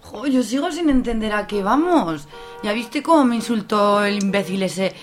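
A woman's voice: medium pitch, animated, normal speed, soft background music, natural voice. A young woman acting a fussy, posh and snobbish complainer in an amateur radio soap, indignant and whiny. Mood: whiny, anxious. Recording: clear background noise.

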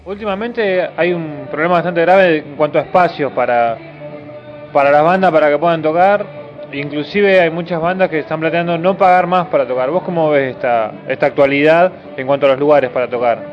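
Higher-pitched Argentine male radio interviewer. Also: a little fast. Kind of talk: interview questions. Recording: dull radio interview over music.

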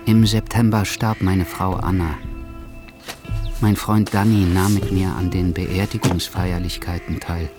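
A man's voice: sits low, level tone, measured pace, over music. A man giving scripted, literary narration in a radio drama.

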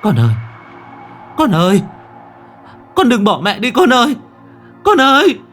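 A man's voice: mid-range voice, big pitch swings, a little slow, faint music behind, pitched above the performer's own voice. A man voicing a devoted, grieving mother in a dramatic story, emotional and pleading with repeated words. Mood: desperate wailing. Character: anguished, devoted mother grieving for dying daughter.

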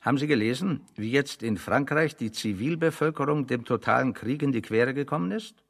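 A man acting in a German radio play as a pedantic, ironic intellectual, speaking deliberately and mock-seriously. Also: medium pitch, creaky, long reverb tail.

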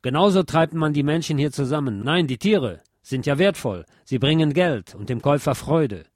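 A man's voice: a little high, lively intonation, normal speed, no echo. Man giving a dramatic literary reading, dry sound. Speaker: reader of a literary text.

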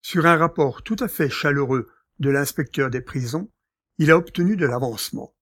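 A man playing a courteous, gossipy gentleman master in a French audio drama, speaking formally. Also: fairly high pitch, normal speed, dry recording, studio quality. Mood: urbane, amused.

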